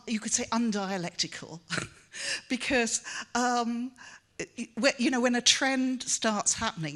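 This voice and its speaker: An older woman whose first language is Welsh, giving a conference talk in English with many pauses, fillers and restarts. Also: mid-range voice, pushed and bright. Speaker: conference speaker.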